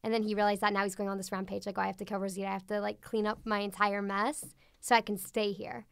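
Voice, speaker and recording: A woman co-hosting a podcast, chatting casually and thinking out loud. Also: medium pitch, flat delivery, fast, creaky, clear, resonant tone, no echo. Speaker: guest co-host.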